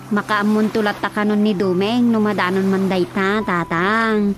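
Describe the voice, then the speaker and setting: A woman's voice: medium pitch, fairly even intonation, moderate pace, music in the background, close to an everyday voice. A woman acting a frank village daughter advising her father in a radio drama, with an Ilocano accent. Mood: frank, persuasive.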